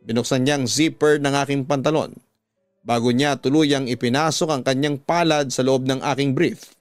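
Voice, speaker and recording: A man with a Filipino accent reads a dramatic story as a radio storyteller, phrasing slowly and emotively. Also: medium pitch, steady tone, no room sound. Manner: slow, emotive, deliberate phrasing. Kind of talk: dramatic scripted story narration. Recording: music bed behind a dry studio voice.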